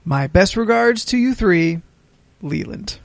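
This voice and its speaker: A man reading a letter aloud as an indignant, pompous gentleman, theatrical and mock-offended. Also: sits high, animated, slow, gravelly, no room sound, full character voice.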